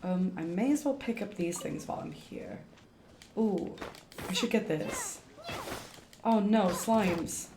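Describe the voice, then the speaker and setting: A woman's voice: medium pitch, animated, slow pace, pauses often, a little mellow, slight background noise. A woman streaming a game with casual, rambling commentary, thinking aloud over game music. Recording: echoey room, faint game music. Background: faint game music.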